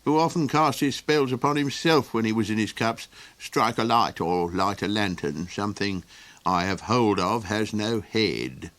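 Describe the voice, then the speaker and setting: A man's voice: on the low side, fairly bright tone, no room sound. A man voicing a fretful, kindly creature who rambles with ums and chuckles in a storybook reading. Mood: rambling. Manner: halting, full of ums and chuckles.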